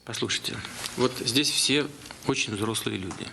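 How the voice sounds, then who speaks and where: A man addressing a group in a halting, hesitant way. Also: mid-range voice, gravelly, background noise.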